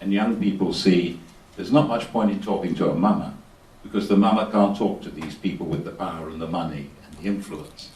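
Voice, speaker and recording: A man with a very low voice answers a question from the audience at a panel discussion. He explains in a measured way and draws on personal stories. He is picked up from a distance in a reverberant room. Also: a little mellow. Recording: roomy, distant hall recording.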